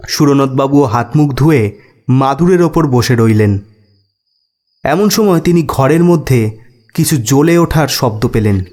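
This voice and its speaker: A man's voice: medium pitch, animated, unhurried, long pauses, darkish tone. A man narrating a scripted ghost story as a storyteller.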